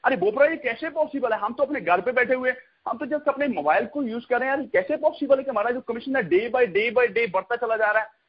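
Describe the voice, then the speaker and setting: A man with a husky, rough-edged voice giving a repetitive sales pitch on a conference call, dropping English catchphrases into his talk. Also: a little fast. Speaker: network marketing promoter hosting a conference call. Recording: dull lo-fi conference call audio.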